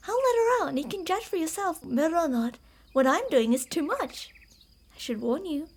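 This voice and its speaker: A woman playing a calm, possessive fox spirit. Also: high-pitched. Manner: calm, formal and quietly manipulative; soft-spoken, dipping into whispers. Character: obsessive female fox spirit in a borrowed body. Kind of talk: ASMR roleplay.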